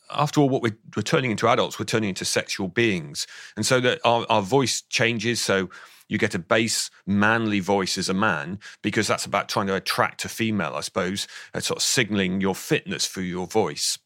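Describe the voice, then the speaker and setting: A man in his fifties with a slightly croaky voice, explaining thoughtfully as an interview guest, often restarting his sentences. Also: on the low side, fairly quick, fairly bright tone, no echo, studio quality. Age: 50s. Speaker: author and guest. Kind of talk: interview on voice science.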